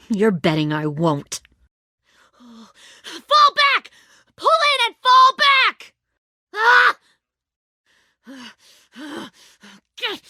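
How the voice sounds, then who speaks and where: A woman's voice: on the low side, bright, forceful tone, dry recording, studio quality, exaggerated voice. A woman playing a cold, menacing middle-aged commander of armed survivors in a dramatic video game. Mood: shouting, furious.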